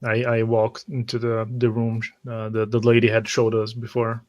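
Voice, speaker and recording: A man playing a tabletop roleplay game, narrating his character's actions in the first person in a halting way. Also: medium pitch, completely flat delivery, a little mellow, lo-fi sound. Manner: halting, with repeated words.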